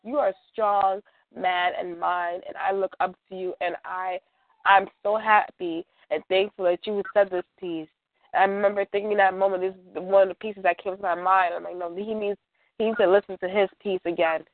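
A woman's voice: medium pitch, fairly even intonation, normal speed, no echo. A woman giving heartfelt, supportive talk on a radio show, repeating phrases for emphasis. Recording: narrow phone-like call-in line.

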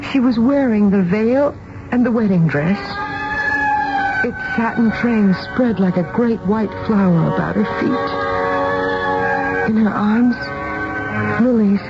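A woman's voice: on the high side, big pitch swings. An actress playing an anxious, tender middle-aged mother who tells the tale dramatically in a 1970s radio drama. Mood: awed. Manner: deliberate, dramatic storytelling. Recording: muffled, noisy radio broadcast with music.

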